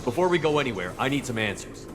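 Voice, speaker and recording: A man's voice: mid-range voice, sing-song, quick pace, background noise, lightly acted. An actor playing a determined, questioning male military commander in a video game, matter-of-fact in manner. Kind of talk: video game dialogue acting. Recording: loud music behind the voice.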